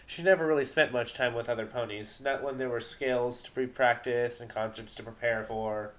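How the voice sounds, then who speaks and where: A man narrating a story aloud in an emphatic, deliberate dramatic reading style. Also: medium pitch, fairly even intonation.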